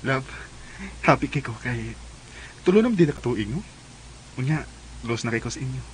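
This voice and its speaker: A man's voice: medium pitch, expressive, normal speed, background noise, close to an everyday voice. A man with a Filipino accent acting a devoted, playful young boyfriend in a radio drama. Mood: tender, playful.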